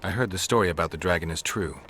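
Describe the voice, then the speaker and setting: Casting: laconic fantasy mercenary for a game, gruff growl, low register, flat delivery. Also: male voice, quick pace. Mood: cool, probing. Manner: terse, flat, unbothered. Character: gruff, laconic, world-weary male monster-hunting witcher. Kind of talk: video game dialogue acting.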